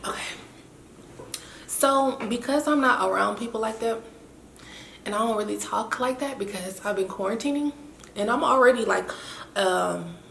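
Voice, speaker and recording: A young woman with a raspy, winded voice, chatting in a rambling, self-mocking way to her camera. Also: fairly high pitch, moderate pace, pauses often, a bit bright. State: winded and hoarse after illness. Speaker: vlogger. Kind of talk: casual chat to camera. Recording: echoey room with faint hiss.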